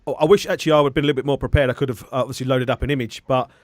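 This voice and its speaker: A man's voice: medium pitch, fairly even intonation, racing, dry recording, clean studio sound. A man hosting a casual live-stream interview, rambling and often correcting himself as he phrases questions. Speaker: interviewer and hi-fi review host.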